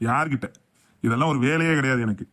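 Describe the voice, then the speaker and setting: A man's voice: medium pitch, fast talker, fairly bright tone, natural voice. A man voicing a boastful, grumbling husband and father in a comic cartoon. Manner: blustering, complaining.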